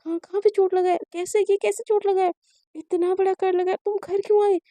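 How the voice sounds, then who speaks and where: A woman's voice: very high voice, flat delivery, mellow, no echo, pitched above the performer's own voice. A woman voicing a frantic, overprotective middle-aged mother in a spoken story, firing off worried questions. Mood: panicked. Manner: panicky, rapid-fire questions.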